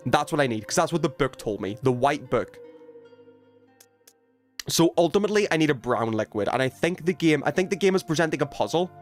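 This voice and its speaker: A man doing casual, excitable gaming commentary, thinking aloud in slang as he chats with stream viewers. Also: a little high, a little fast, soft background music. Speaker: video game livestreamer.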